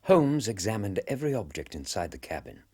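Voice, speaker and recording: An actor playing a loyal, reflective British gentleman companion who narrates a radio drama in a measured, wistful manner. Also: on the low side, big pitch swings, husky, dry recording, studio quality, full character voice. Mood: grave narration.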